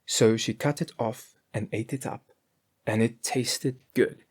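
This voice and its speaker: A storyteller reading a scripted fairy tale in an expressive, measured way. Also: mid-range voice, husky.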